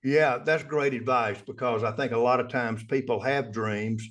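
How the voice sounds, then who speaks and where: A man's voice: mid-range voice, moderate pace. A man co-hosting a lawyers' podcast, talking in a conversational, stumbling way with frequent restarts. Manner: animated, apologetic, stumbling restarts. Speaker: podcast co-host, trial lawyer. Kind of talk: conversational interview.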